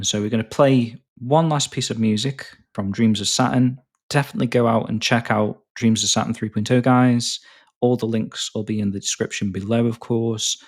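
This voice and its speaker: A man hosting a podcast over a remote call, chatting casually, cracking jokes and laughing often. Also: medium pitch. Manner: jokey, laughs often, quick asides. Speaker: podcast host. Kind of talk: casual chat.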